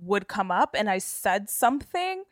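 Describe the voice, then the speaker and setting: A woman guest comedian chatting casually on a podcast, animated and slangy, with a slightly nasal voice. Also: mid-range voice, measured pace, no echo, clean studio sound. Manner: animated, slangy storytelling with sung and mimicked bits.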